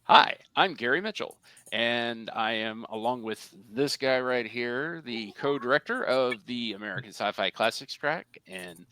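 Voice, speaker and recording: Bright-voiced man, dry close sound. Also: medium pitch, a little slow, clean tone, no echo. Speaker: co-director of a fan track, podcast co-host. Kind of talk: casual self-introduction and banter.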